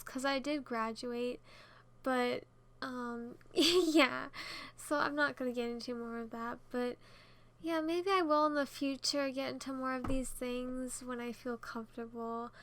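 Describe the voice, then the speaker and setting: A young woman of nineteen with a high voice talks casually over a gaming video. She rambles in a chatty, giggly way, often second-guessing herself and wandering off into side remarks. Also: a little slow, fairly bright tone. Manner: chatty, giggly, self-conscious and digressive. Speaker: YouTube gamer narrating a speed build.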